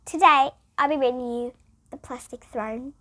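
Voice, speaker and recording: A female reader, reading a children's picture book aloud in an expressive, emphatic way. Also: sits high, normal speed.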